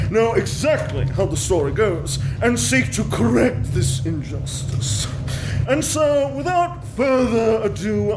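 A man's voice: sits high, poor audio. A man playing a pompous, self-important aristocratic host who introduces a story grandly.

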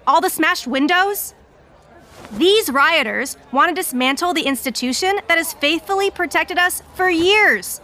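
An actress playing a self-righteous, defensive pro-police counter-protester, speaking emphatically in an audio drama street interview. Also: female voice, very high-pitched, expressive, measured pace, pushed and bright, full character voice. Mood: indignant. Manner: emphatic, indignant.

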